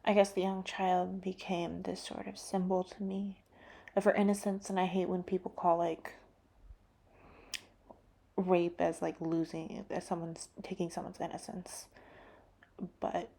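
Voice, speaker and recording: Soft, halting woman with a gravelly, mellow voice. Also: lowish voice, level tone. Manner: soft, halting, many fillers, drops into whispers. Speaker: painter. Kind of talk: reflective monologue about her painting.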